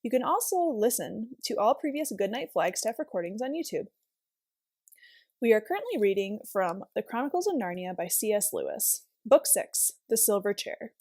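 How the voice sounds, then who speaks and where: A woman's voice: mid-range voice, big pitch swings, moderate pace, a little mellow, no room sound. A woman reading aloud as a volunteer storyteller, narrating a children's novel expressively.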